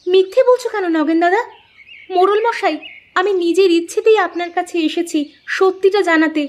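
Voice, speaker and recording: A woman's voice: very high-pitched, moderate pace. A woman voicing a humble, earnest poor village wife in a moral-story cartoon, pleading respectfully.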